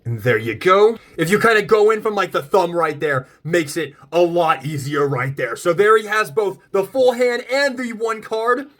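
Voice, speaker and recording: High-pitched, excitable man reviewing toys. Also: normal speed, few pauses. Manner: gushing, excitable, exclaims often; informal, repetitive step-by-step demonstrating. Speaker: toy review video host. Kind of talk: casual unboxing and review commentary.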